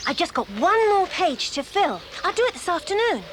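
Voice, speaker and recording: An eager English schoolgirl, very high and swooping in pitch, jolly and plucky, in a children's audio drama. Outdoor nature sounds run clearly behind the voice. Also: big pitch swings, moderate pace, background noise.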